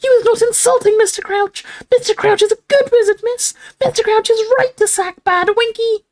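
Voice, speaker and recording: A small female house elf, indignant and ashamed, squeaks and screeches at an extremely high pitch. She is fiercely loyal and speaks of herself by name in broken storybook grammar. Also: moderate pace. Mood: furious, distraught.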